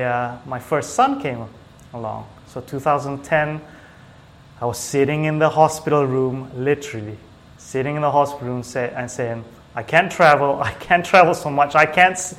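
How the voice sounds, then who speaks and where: Halting mid-pitched man from Singapore. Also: a bit bright, a little hiss or hum. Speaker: small business owner, IT technician, interview guest. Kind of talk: casual interview chat.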